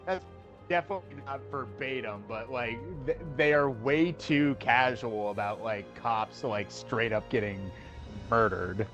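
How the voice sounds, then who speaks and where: A man's voice: medium pitch, unhurried, fairly bright tone, muffled recording, soft background music. A man ranting on a casual gaming stream, swearing a lot and restarting his sentences over and over. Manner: ranting, profane, many fillers and restarts. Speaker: co-host.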